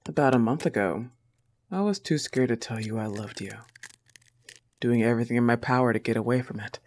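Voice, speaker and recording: A man voicing a possessive but tender young goth wolf girlfriend, soft-spoken, in an intimate audio roleplay. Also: medium pitch, slight background noise, close to an everyday voice. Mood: tender, reflective.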